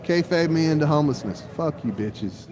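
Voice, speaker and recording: A man's voice: a little high, expressive, normal speed. A man in his forties talking in a rambling monologue that jumps from topic to topic, with frequent swearing. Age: 40s. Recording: outdoor traffic noise.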